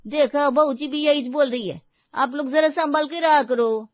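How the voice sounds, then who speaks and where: A woman's voice: high voice, moderate pace, no room sound, lo-fi sound, lightly acted. A woman voicing a rude housemaid in a storybook tale, curt, complaining and talking back. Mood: scolding, smug.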